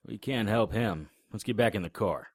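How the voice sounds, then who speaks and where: A man's voice: on the low side, moderate pace, dry recording, lightly acted. Man playing a dry-witted vampire hunter. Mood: wry. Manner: dry, sardonic, matter-of-fact. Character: wisecracking middle-aged male monster hunter. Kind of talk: audio drama acting.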